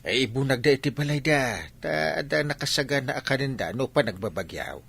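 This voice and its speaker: A man's voice: medium pitch, fairly quick, vocal fry, fairly bright tone, breathy edge. A man with a Filipino accent playing a grumbling old village grandfather in a comedy radio drama. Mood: matter-of-fact.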